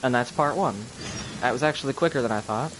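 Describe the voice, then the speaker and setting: A man's voice: medium pitch, quick pace. A man giving casual live gameplay commentary as a streamer, in short offhand remarks. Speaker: game streamer. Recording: noisy live stream mic with clicks.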